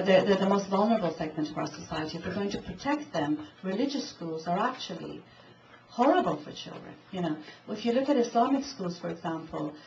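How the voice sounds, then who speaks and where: A woman's voice: medium pitch, a little mellow. A woman, an invited speaker, answering a question at a public talk in an earnest, arguing way. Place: event hall. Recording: distant mic, dull, light hiss.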